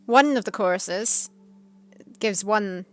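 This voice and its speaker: A giggly, animated woman in casual stream chat, reading viewer messages aloud. Faint music runs underneath. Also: mid-range voice, slow pace, no echo.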